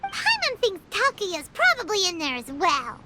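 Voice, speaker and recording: A woman voicing a tiny, pert, childlike fairy companion in a video game, with a squeaky cartoon voice. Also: huge pitch swings, normal speed, heavy background noise, full character voice. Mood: curious, suspicious. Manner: refers to self in third person, pert.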